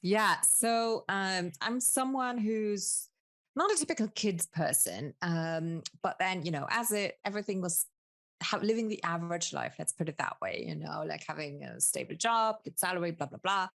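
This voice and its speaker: A woman's voice: fairly low pitch, expressive, moderate pace, creaky, a bit bright. A woman in her thirties from Luxembourg, a native Luxembourgish speaker with a British-tinged English accent, chatting candidly as a podcast guest. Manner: candid, laughs easily, hedges with fillers. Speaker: guest, business coach. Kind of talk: casual interview chat.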